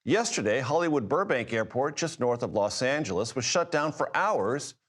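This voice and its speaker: A man anchoring a news program, reading a script in a formal, deliberate, emphatic way. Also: medium pitch, expressive, fairly bright tone.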